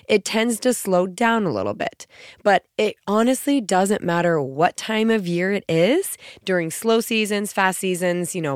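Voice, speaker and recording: A woman in her thirties talks straight to her listeners, earnest and encouraging. Her naturally scratchy, gruff voice sits fairly low and sounds stuffed up from a cold. The sound is dry and close, with no room echo. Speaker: podcast host. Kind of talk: motivational podcast talk.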